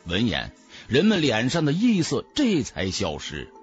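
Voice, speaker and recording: A man narrating an audiobook in a dramatic, theatrical, expressive storyteller's manner. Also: mid-range voice, a little slow, husky, muffled recording, faint music behind.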